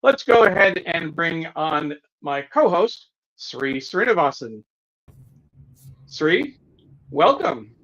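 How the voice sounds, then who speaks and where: A man with a slightly high voice hosts a casual livestream. He speaks haltingly as he reads out announcements and greetings. The audio is a little dull and lo-fi. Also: very animated, fairly bright tone. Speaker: co-host of a news discussion livestream.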